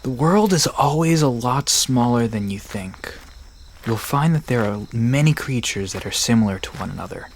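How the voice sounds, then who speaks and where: A man's voice: mid-range voice, lively intonation, moderate pace, a little hiss or hum, lightly acted. An actor playing a friendly, knowledgeable young man who explains folklore in an easygoing, reassuring way in an audio drama. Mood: thoughtful. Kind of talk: conversational audio drama acting. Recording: music and crickets behind voice.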